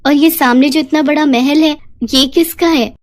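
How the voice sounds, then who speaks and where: Woman playing an anxious high-pitched young village wife. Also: steady tone, moderate pace, natural voice. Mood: anxious, puzzled. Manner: flustered, questioning. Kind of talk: animated moral storytelling voice acting.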